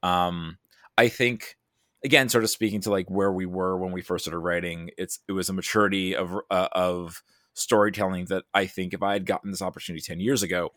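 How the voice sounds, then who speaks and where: A man's voice: low voice, steady tone, fairly quick, fairly bright tone, dry recording, clean studio sound. A man chatting casually as a podcast guest, rambling and self-deprecating, sounding a bit tired. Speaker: podcast guest, writer and creative producer. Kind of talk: casual chat.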